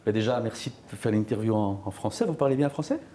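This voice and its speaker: A man's voice: lowish voice, expressive, fast, mellow. A man interviewing a footballer, asking short, direct and probing questions. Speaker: sports interviewer. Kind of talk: sit-down sports interview.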